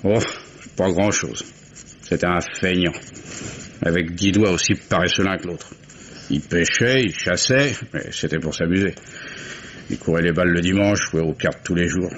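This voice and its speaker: An actor playing a gruff, guarded old oyster fisherman in a 1950s radio drama, talking in a folksy, grumbling way. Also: low voice, normal speed, pauses often, a bit bright. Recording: muffled old radio recording with faint hiss.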